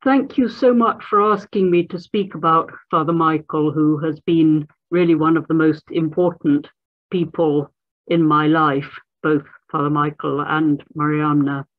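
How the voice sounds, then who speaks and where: An adult speaker giving a remembrance in an earnest, halting way, restarting phrases. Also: medium pitch, fairly even intonation. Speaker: speaker at a memorial. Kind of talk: remembrance speech. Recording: tinny phone-like call audio.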